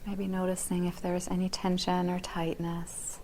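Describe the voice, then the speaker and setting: A woman yoga teacher guiding a body scan meditation in a yoga class, speaking softly and gently, her words often trailing into near-whispers. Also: lowish voice, completely flat delivery, moderate pace, slight background noise.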